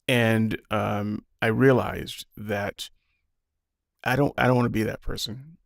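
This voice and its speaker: A man in his fifties talking casually and reflectively, pausing often with fillers and restarts. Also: on the low side, fairly even intonation, vocal fry, no echo, studio quality. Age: 50s. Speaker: podcast guest. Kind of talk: casual opinion talk.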